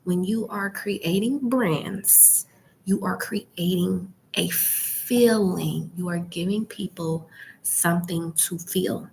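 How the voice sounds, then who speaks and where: Female branding coach, low hushed semi-whisper, unhurried and emphatic, light rumble behind. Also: creaky, low-quality recording. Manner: emphatic, rhetorical questions and frequent check-ins. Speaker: YouTube branding and e-commerce coach. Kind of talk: informal coaching talk to camera. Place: library.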